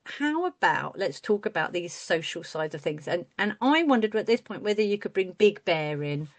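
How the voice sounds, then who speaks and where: A woman's voice: mid-range voice, very animated, normal speed. A woman hosting a casual chat over a video call, talking in a friendly, chatty way with lots of fillers. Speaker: host.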